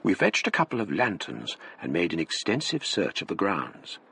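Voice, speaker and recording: An actor playing a grave gentleman narrator with an English accent, telling a gothic tale in literary, dramatic style for radio. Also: deep voice, wildly swooping pitch, moderate pace, creaky, slight background noise, full character voice. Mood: reflective.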